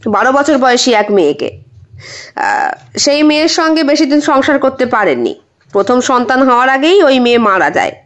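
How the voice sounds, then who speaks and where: Woman as concerned young husband Rudra, high-voiced.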